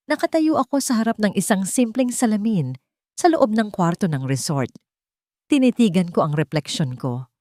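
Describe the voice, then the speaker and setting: A woman's voice: lowish voice, a little mellow, no echo, clean studio sound. A woman narrating a scripted first-person romance story.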